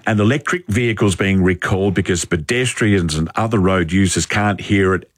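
Deep-voiced radio newsreader. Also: male voice, normal speed, no room sound, clean studio sound. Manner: wry, hesitant, fillers between items. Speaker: radio news presenter. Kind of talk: casual news headlines with banter.

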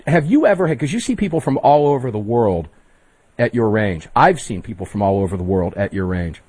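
Husky-voiced male talk radio host. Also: on the low side, lively intonation, brisk, darkish tone, dry recording. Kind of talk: talk radio hosting and ad reads.

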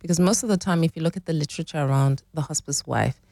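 A woman's voice: low-pitched, quick pace, creaky, warm tone, dry recording, studio quality. A woman in her forties, explaining relationship dynamics as a guest in a conversational interview, often checking in with "right?". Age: 40s.

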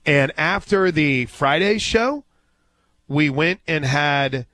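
A man's voice: a little high, animated, slow, pushed and bright, no room sound, studio quality. Casual male sports radio host. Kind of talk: casual live radio talk and storytelling.

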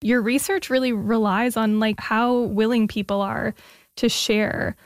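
A woman's voice: a little high, fairly even intonation, unhurried, no room sound, studio quality. A woman hosting an interview show, reading a scripted introduction and then asking friendly questions with a casual "like" here and there. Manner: friendly, uses filler "like" in questions. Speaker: radio show host.

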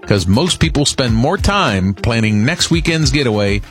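A man reading a radio advertisement in a polished, persuasive pitch. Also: expressive, normal speed, faint music behind. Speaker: radio show host in an ad.

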